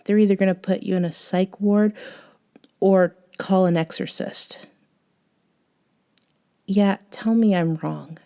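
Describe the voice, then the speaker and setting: A woman playing a gentle, eccentric young woman, a caring friend, in an intimate ASMR audio roleplay, soft-spoken with hesitant pauses. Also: medium pitch, fairly even intonation. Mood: wryly teasing.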